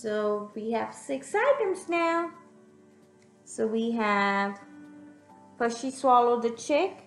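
A young woman reading a picture book aloud to young children in an expressive, teacherly way, pausing to put questions to them. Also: fairly high pitch. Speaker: children's storyteller. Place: room at home, indoors. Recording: echoey room, music in the background.

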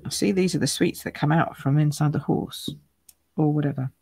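Chatty woman, croaky gravelly voice. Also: low-pitched, normal speed, a little mellow, dry recording. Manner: chatty, thinks aloud, greets viewers by name. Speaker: card-making demonstrator. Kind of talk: live craft demonstration. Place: home craft room.

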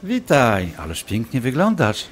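An actor playing a smug, flirtatious doctor and former lover in a radio drama, speaking suggestively. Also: male voice, medium pitch, wildly swooping pitch, a little slow, a little hiss or hum.

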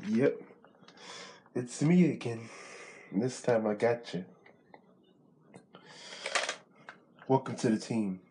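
A man voicing a calm male gang recruiter who speaks low, quiet and laid-back. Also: unhurried, slight background noise, lightly acted. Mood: smug.

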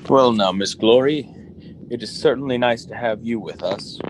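A man's voice: medium pitch, normal speed, slight background noise, natural voice, rough recording. A man acting a hearty, assertive, business-minded factory consul in a radio drama. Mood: hearty, boastful.

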